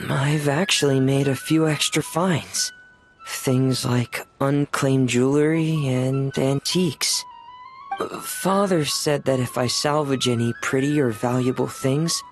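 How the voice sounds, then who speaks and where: An earnest young diver in a video game. He speaks very slowly and gently in a soft, youthful, mid-pitched voice, careful and polite. Faint music sits behind him. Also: creaky. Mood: modest, gentle. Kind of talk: video game character acting.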